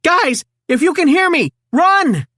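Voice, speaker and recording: A woman voicing an eager, nervous teenage boy turtle inventor in a children's storybook, with excitable delivery. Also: very high voice, a little slow, no room sound, studio quality, pitched above the performer's own voice, heavily acted. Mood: frantic, shouting. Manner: excitable, exclaiming.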